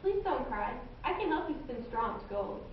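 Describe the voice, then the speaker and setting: A woman's voice: sits high, sing-song, moderate pace. A woman playing a pestering little fairy-tale man, pleading in readers' theatre. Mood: eager pleading. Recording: echoey hall, distant mic, muffled, faint noise.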